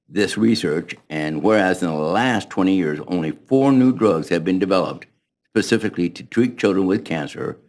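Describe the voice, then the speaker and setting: Мужчина с очень низким голосом ровно зачитывает официальное воззвание на заседании городского совета. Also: male voice, low-pitched. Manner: plain, even reading with a brief stumble. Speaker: city councilmember. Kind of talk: formal reading of a proclamation.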